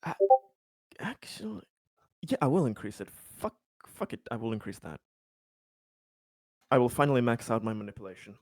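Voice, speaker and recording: A man's voice: medium pitch, moderate pace, long pauses, dry recording, studio quality. A man chatting casually and jokingly as a tabletop game player, loose with swearing. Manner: animated, casually profane.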